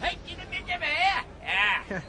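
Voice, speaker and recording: An actor voicing a blunt, grumbling, miserly old uncle in a radio drama. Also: male voice, very high-pitched, big pitch swings, unhurried, pushed and bright, a little hiss or hum, heavily acted. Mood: grumbling, confiding. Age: older. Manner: grumbling, mutters and exclaims, wildly swooping. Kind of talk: British radio drama acting.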